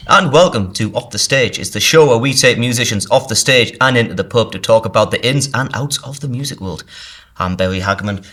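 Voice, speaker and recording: A man's voice: mid-range voice, a little fast, fairly bright tone. A man with a North East English accent, the podcast host, chatting casually and wandering off on tangents, tripping over his words. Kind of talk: casual pub podcast chat. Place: pub.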